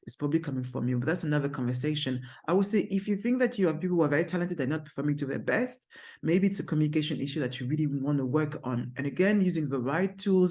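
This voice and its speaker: A middle-aged agency founder with a strong French accent, a native French speaker, giving energetic, emphatic business advice to camera from an office, with frequent restarts. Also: mid-range voice.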